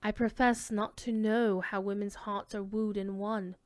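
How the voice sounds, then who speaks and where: Lady doing a dramatic story reading, smooth clear voice, dry close sound, leans into key words. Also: medium pitch, little pitch movement, unhurried, no room sound. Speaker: reader giving a dramatic reading of a classic tale. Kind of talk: dramatic literary narration, reading aloud.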